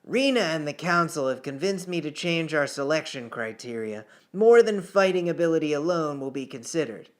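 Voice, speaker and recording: A man's voice: a little high, expressive, normal speed, hardly any pauses, close to an everyday voice. A man reading the part of a stern, towering military commander in a fantasy story. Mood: formal.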